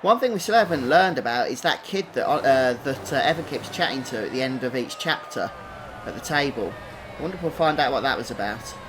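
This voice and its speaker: Man casually commentating a game, medium pitch. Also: lively intonation, a little fast, background noise. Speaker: game streamer.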